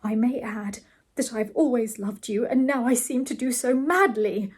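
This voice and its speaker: A woman voicing a meek, lovelorn, melodramatic gentleman in a comic storybook, speaking with earnest pain. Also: a little high, very animated, moderate pace, slightly rough. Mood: low, trembling. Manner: earnest and pained. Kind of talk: comic storybook character reading.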